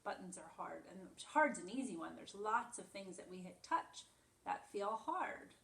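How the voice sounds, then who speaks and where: A woman's voice: medium pitch, lively intonation, normal speed, gravelly, fairly bright tone. A woman preschool teacher explaining simply and reading a story aloud for young children. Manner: simple, explanatory, demonstrative phrasing for small children. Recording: echoey room, distant microphone.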